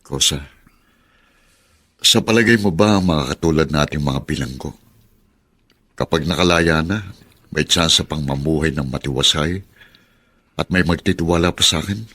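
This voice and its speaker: An actor playing a weary, doubtful long-term convict about to go free, in a rough, deep voice, in a Filipino radio drama. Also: male voice, moderate pace, pauses often, bright, forceful tone, dry recording, heavily acted. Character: weary, doubtful male convict freed after fifteen years.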